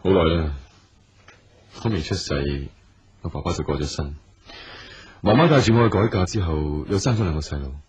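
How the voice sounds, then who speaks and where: An actor playing a patient, persistent businessman in a radio drama, speaking calmly and earnestly. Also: sits low, moderate pace, long pauses, lo-fi sound, a little hiss or hum. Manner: calm, earnest and probing.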